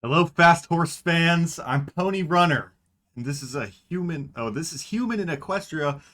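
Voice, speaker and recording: A man voicing a cheery male speedrunner who greets a marathon crowd in a loud, drawn-out announcer manner. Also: fairly high pitch, lively intonation. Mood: announcing.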